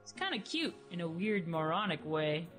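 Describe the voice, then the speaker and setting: A curious, sardonic young heroine played by a woman chats casually with very lively pitch. She sounds flippant, in a radio play with faint music underneath. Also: moderate pace. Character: flippant, curious young female creature, dead soul.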